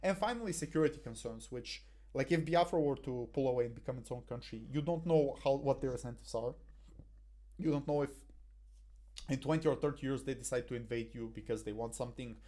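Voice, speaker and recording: A young man coaching debaters over his slides, recorded on a headset in a small room. His voice sits at a middle pitch with a warm, mellow tone. He talks in a halting way, full of fillers and restarts. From Bulgaria. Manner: hesitant, many fillers and restarts.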